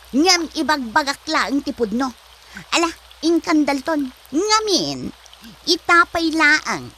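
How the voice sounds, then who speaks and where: A woman's voice: sits high, sharp tone, a little hiss or hum, exaggerated voice, rough recording. A woman playing a haughty, ambitious young woman in an Ilocano comedy drama, sassy and disdainful, with an Ilocano accent. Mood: dismissive.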